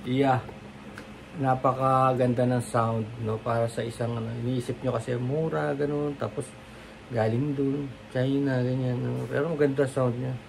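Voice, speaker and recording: A man's voice: mid-range voice, level tone, normal speed, vocal fry. A Filipino man giving a casual, rambling product demo in short fragments. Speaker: product reviewer. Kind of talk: casual unboxing and demo chat. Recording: echoey, noisy room, amateur karaoke-mic demo.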